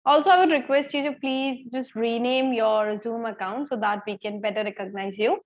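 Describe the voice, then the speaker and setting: A woman's voice: on the high side, normal speed, dull audio. A woman moderating an online conference, polite and formal, greeting guests and calling them ma'am. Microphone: video call.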